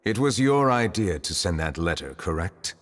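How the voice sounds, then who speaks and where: A man voicing a grave, composed chief justice in a video game, questioning in a formal, measured way. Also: low-pitched, sing-song, a little hiss or hum. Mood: stern, calm. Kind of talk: scripted video game dialogue. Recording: long hall reverb, music behind.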